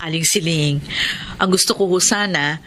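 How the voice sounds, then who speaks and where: Woman doing a middle-aged single mother, bright tone, earnest. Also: on the low side, normal speed, a little hiss or hum, lightly acted. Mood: tender. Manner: earnest, emotional. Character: hardworking single mother, proud and wounded. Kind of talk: radio drama acting.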